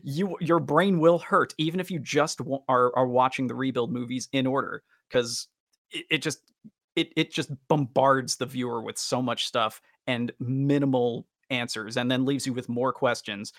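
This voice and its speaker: A man's voice: mid-range voice, normal speed, fairly bright tone, no room sound, studio quality. A man hosting a casual podcast, talking in wordy, roundabout and slightly formal phrases. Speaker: podcast host.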